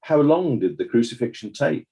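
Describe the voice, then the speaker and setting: Man as a formal, mellow-toned inquest examiner. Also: mid-range voice, expressive, moderate pace, creaky. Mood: formal, inquiring. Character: formal examining counsel questioning a witness at an inquest. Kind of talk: dramatized legal questioning.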